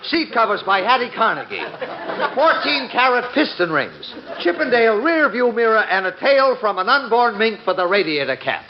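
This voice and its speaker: A man's voice: high voice, big pitch swings, moderate pace. An actor playing a desperately eager, fawning car salesman in 1940s radio comedy style, effusive and wheedling. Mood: gushing. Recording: noisy, muffled 1940s radio recording.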